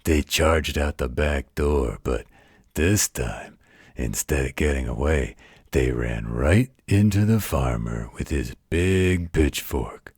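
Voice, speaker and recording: An extremely low, heavily rasping werewolf played by a man, gruff but gentle and protective. He speaks in a folksy, unhurried way, as in a bedtime roleplay audio, close and dry. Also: expressive, no room sound, heavily acted. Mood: amused storytelling. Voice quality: deep, gravelly, husky. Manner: folksy, slow, patient drawl with colloquial turns.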